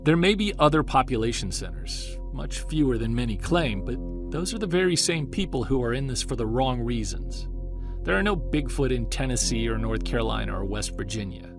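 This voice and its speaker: A man playing an earnest, weary obsessive, speaking in a confiding, deliberate way, as in an audio-drama diary. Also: mid-range voice, lively intonation, over music, lightly acted. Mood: earnest, explanatory. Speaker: narrator and lead of fiction podcast.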